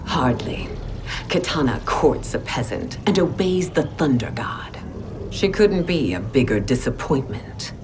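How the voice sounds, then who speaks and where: A woman's voice: extremely low pitch, sing-song, unhurried, noisy background, heavily acted. An actress playing a proud, ruthless warrior empress and scheming mother in a video game, speaking in a regal, formal manner. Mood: disdainful. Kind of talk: video game cutscene acting.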